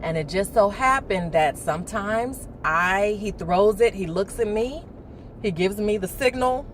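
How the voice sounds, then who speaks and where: A woman chatting casually on a morning vlog, rambling and laughing as she reflects, her voice deeper before coffee. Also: unhurried, a little hiss or hum. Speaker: vlogger. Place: indoors, talking to her phone. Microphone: phone.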